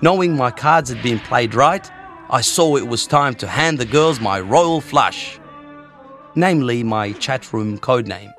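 A man's voice: medium pitch, lively intonation, unhurried, a bit bright, soft background music. A man telling a wry, self-mocking story about his own past in the first person. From Australia.

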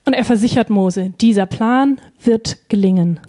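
A woman's voice: mid-range voice, normal speed, mellow. A woman interpreting a sermon live, phrase by phrase, hesitating and feeling for words with frequent ums.

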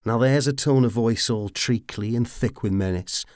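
A man's voice: medium pitch, moderate pace, a little mellow, dry recording, studio quality, pitched above the performer's own voice, natural voice. A man voicing a scrawny, cheeky mercenary brawler in an audiobook, brash, leering and teasing. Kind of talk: audiobook character acting.

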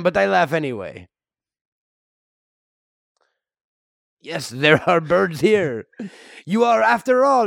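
A man's voice: sits high, gravelly, dry recording, studio quality, full character voice. A man voicing a chatty, easygoing French Canadian superhero in an improvised comedy, rambling hesitantly in broken English. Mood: rambling, amiable. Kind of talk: improvised comedy podcast in character.

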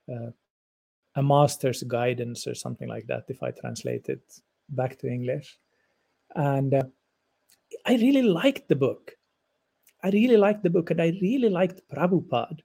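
A man around fifty from Finland, whose first language is Swedish, answers interview questions in a thoughtful, halting way with frequent restarts. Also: fairly high pitch, vocal fry, dark tone. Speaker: guest lecturer.

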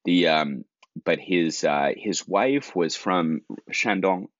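Very deep-voiced man chatting loosely. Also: slow, no echo, dull audio. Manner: rambling, full of likes and restarts. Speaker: podcast co-host. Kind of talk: casual conversation.

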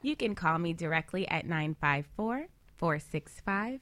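Bright-voiced woman giving money advice. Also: lowish voice, animated, a little slow. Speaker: financial advisor, guest panelist. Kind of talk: lively panel talk on money habits.

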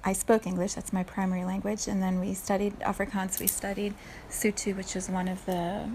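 A woman in her forties talks slowly and thoughtfully, and her voice has a gravelly edge. Her pitch stays fairly flat and level, and a faint hiss sits under the recording. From South Africa. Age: 40s. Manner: unhurried, thoughtful, laughs softly at herself. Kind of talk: reflective solo talk.

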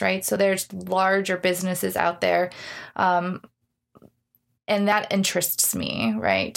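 A woman in her thirties talking casually in an interview, candid, reflective and self-deprecating. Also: on the low side. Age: 30s. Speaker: gym owner being interviewed.

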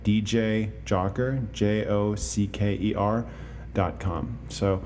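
A man's voice: lowish voice, slow pace, a little hiss or hum. A man hosting a podcast, talking casually with lots of filler words and asking easygoing interview questions. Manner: relaxed, many filler words. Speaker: podcast host.

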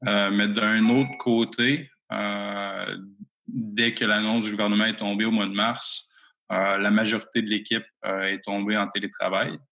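A man's voice: fairly low pitch, flat delivery, a little slow, vocal fry, sharp tone, lo-fi sound. A man with a Québécois accent answering interview questions about his company, hesitant and full of fillers, recorded from home. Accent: Québécois French. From Abitibi-Témiscamingue, Quebec. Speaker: mining company executive guest.

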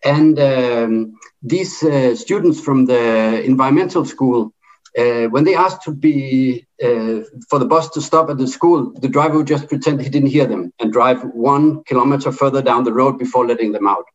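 Halting Danish-accented man, muffled audio. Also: medium pitch. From Denmark. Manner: halting, with many fillers and restarts. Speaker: debate speaker. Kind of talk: speaking in an online debate.

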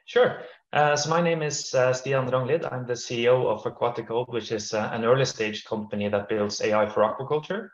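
A man from northern Norway, a startup CEO, answering interview questions in a measured way with frequent restarts over a video call. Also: medium pitch, reverberant, highs cut off. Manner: measured, with frequent repeats and fillers.

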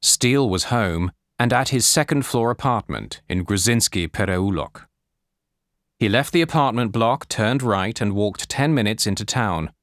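A man reading scripted non-fiction as an audiobook narrator, in a measured, deliberate way. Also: on the low side, lively intonation, fairly bright tone, no echo, clean studio sound. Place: studio.

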